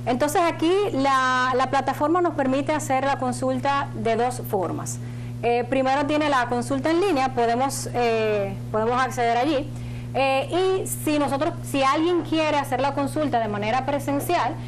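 A woman in her thirties explaining procedures as a studio guest, in halting phrases with repeated words. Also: high-pitched, slight background noise, rough recording. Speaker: financial agency spokeswoman, studio guest. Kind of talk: explanatory interview talk. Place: small indoor TV studio.

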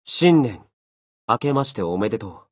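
A man's voice: mid-range voice, sing-song, normal speed, breathy edge, no room sound, clean studio sound. A man voicing an earnest, anxious swordsman with stiff, old-fashioned speech in a Japanese game. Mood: uneasy. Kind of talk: Japanese game character voice lines.

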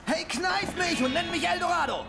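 An actor playing a brash, greedy, excitable soldier in a comedic military game, loud-mouthed and folksy. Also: male voice, very high voice, normal speed, gravelly, over music. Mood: greedy glee.

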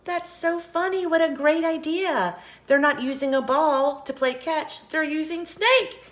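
A woman reading a picture book aloud for children, expressive, with questioning asides to young listeners. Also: high-pitched, unhurried. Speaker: children's story reader and host.

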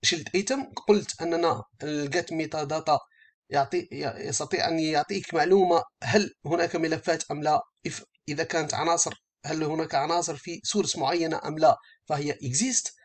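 A man giving a step-by-step software tutorial as an instructor, speaking haltingly and often rephrasing as he explains. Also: on the high side, fairly even intonation.

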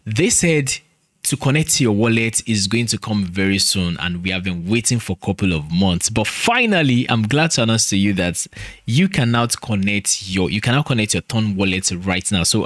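A man's voice: fairly low pitch, a bit bright, no room sound, clean studio sound. A young man hosting a casual crypto tutorial vlog from a small purple-lit room, chatty and often restarting sentences with "so". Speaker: crypto channel host. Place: small room with purple lighting and gaming chair. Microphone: studio mic on boom in front of face.

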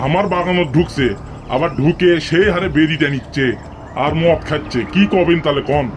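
A man's voice: fairly high pitch, moderate pace, lightly acted. A man voicing a menacing local tough in a comic cartoon, with a northern Bangladeshi accent. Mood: complaining. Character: menacing local male tough guarding his garden. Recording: noisy cartoon soundtrack with clicks.

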